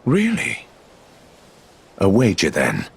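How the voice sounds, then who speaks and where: An actor playing a suave, calculating gambler villain in a video game, with a genteel, smugly theatrical manner. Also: male voice, sits low, huge pitch swings, slow pace, gravelly, husky, exaggerated voice. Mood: suave, playful. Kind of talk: video game cutscene acting.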